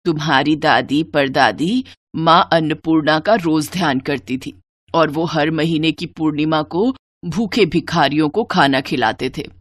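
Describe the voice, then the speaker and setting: A woman voicing a gentle older mother who speaks in a solemn, measured way as she blesses her daughter in a storybook tale. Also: sits low, dry recording, voice pushed down. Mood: solemn, tender.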